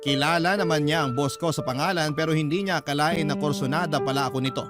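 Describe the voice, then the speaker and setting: A man's voice: fairly high pitch, fast. A man with a Filipino accent narrating a scripted first-person radio story in a confiding storytelling way. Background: prominent music.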